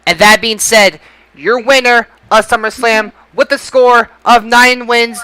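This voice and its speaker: A man's voice: extremely high pitch, fairly even intonation, measured pace, bright, forceful tone, smooth, no echo. A man hosting a casual podcast results show, talking in an announcer-like way and repeating things for effect. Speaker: podcast host.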